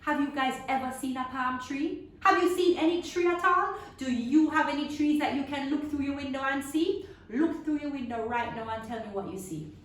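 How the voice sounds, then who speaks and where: A woman reading a story aloud to young children in an expressive, dramatic way, drawing out words and sounds and putting questions to her listeners. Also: high-pitched, normal speed. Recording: very echoey room, distant mic.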